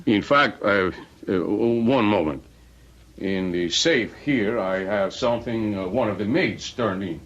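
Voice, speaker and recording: An actor playing a polite, hesitant hotel desk clerk with formal, deferential manners in an old-time radio drama. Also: male voice, lowish voice, unhurried, a bit bright. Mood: obliging, careful. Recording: dull lo-fi radio drama recording.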